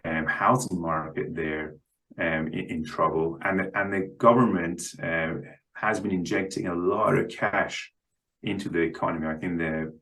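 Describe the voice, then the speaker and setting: A man speaking as a guest analyst in an unscripted interview, halting and often repeating the starts of words. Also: low-pitched, fairly even intonation, bright, forceful tone, poor audio. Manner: halting, stammers on word starts.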